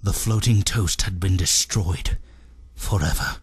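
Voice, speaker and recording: A man voicing a melodramatic soothsayer of the 1830s, narrating a dark storybook legend in a grandiose, ominous way with a husky voice. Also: low voice, no room sound, full character voice. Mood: grave, foreboding. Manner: grandiose, ominous, drawn-out dramatic phrasing. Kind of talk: theatrical comic storybook narration.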